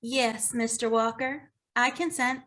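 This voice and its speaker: A woman playing an earnest grieving daughter who over-explains dramatically in an animated comedy table read. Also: fairly high pitch, takes it slowly. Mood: earnest, aggrieved.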